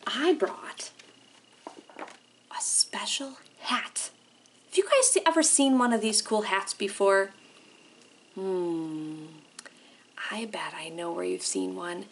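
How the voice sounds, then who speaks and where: Female children's storytime host: high, lively sing-song delivery, unhurried, full of wide-eyed questions. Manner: animated, sing-song, exaggerated wonder, asking questions. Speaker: children's librarian hosting storytime. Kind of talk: interactive storytime for young children.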